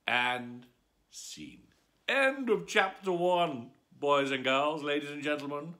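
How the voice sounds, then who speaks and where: A man's voice: fairly high pitch, expressive, slow pace, bright, forceful tone. A man reading a children's story aloud as a bedtime storyteller, with a theatrical, swooping delivery.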